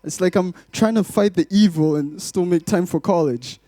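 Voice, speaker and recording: A man in his twenties addresses a congregation as a testimony speaker and poet. His voice sits a little high yet is relaxed and warm in tone, with very little room sound. He phrases things in a measured, rhythmic way. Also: no echo, studio quality. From Glasgow, Scotland, born in Malawi. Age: 20s. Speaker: church guest speaker giving testimony, poet. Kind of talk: rhythmic spoken-word poetry in places.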